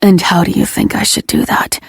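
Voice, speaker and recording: A woman voicing an intense, brooding young man who speaks in a rasping, hushed whisper. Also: fairly quick, dry recording, studio quality, close to an everyday voice. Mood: taunting.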